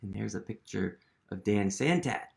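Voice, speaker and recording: A man reading a picture book aloud to children, gently asking them questions and sometimes dropping to a whisper. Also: on the low side, moderate pace, gravelly. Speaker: museum storytime reader.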